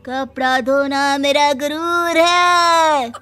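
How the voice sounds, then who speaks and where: A dramatic young Indian bride from a cartoon tale, acted by a woman. Her voice is very high and bright, full of indignant exclamation. Faint music plays underneath. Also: steady tone, extremely slow, exaggerated voice. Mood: proud, outraged. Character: melodramatic young bride obsessed with washing clothes. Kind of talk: Hindi cartoon story acting.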